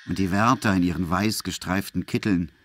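A man's voice: low voice, fairly even intonation, normal speed, a bit bright, slight background noise. A man acting as the narrator of a grim literary radio play, telling a madman's story in the third person. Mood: grim, indignant.

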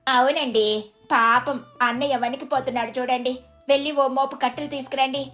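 Cartoon housewife played by a woman, higher register, sly, idle, scolding manner, soft music underneath. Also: little pitch movement, a little fast. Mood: chiding. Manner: chiding, fussing. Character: lazy, wily village wife, doting on relatives.

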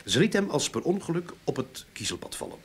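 An actor playing a talkative, enthusiastic sleuth in a radio drama, explaining things eagerly in a husky voice with emphatic repeats. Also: male voice, fairly high pitch, sing-song, fairly quick, slight background noise. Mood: triumphant explaining. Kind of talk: Dutch radio drama acting.

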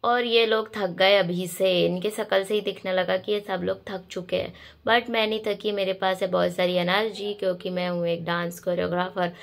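A young woman, hoarse from a cold, chatting to viewers in a casual vlog voice-over. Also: medium pitch, fairly even intonation, normal speed. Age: young adult. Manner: chatty, addressing viewers directly. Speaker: vlogger and dance choreographer.

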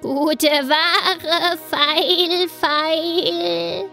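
A woman's voice: extremely high pitch, little pitch movement, very slow, gravelly, pushed and bright, faint music behind, pitched above the performer's own voice, full character voice. A woman voicing a sly old peddler woman in a fairy tale, calling out and coaxing.

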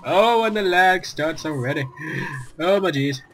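Rambling young gamer, bright tone. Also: male voice, high-pitched, animated, measured pace, a little hiss or hum. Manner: rambling, self-deprecating, many fillers and restarts. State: recovering from a virus, lost his voice. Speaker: gaming YouTuber. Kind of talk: casual gameplay commentary.

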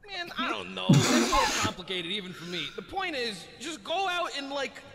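A man's voice: very high-pitched, big pitch swings, brisk, exaggerated voice. A man voicing a flustered, rambling devil in a comic game fandub, stammering conversationally over game music. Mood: casual scheming. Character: flustered, rambling male devil king of hell.

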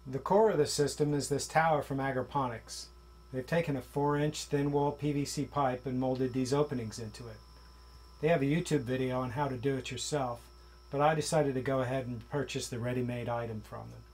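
A man in his forties explaining a homemade project in a small basement utility room, in a relaxed, plain way with occasional fillers. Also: mid-range voice, fairly quick, slight background noise. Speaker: vlogger. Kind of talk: casual show-and-tell walkthrough.

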